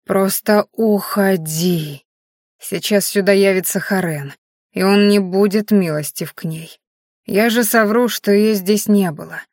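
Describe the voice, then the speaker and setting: A woman voicing a dying young woman in an audiobook, hoarse and faint, weary yet resolute. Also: medium pitch, unhurried, no room sound, studio quality, close to an everyday voice. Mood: hoarse, commanding. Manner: weak, quietly commanding.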